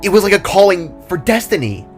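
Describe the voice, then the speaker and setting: Earnest, sensitive boy hero of a fantasy story, read by a man, animated intonation, light music underneath. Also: sits high, a little fast, pitched above the performer's own voice. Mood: regretful, earnest. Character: earnest young boy spark, wondering, guilt-ridden friend. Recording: music behind the voice.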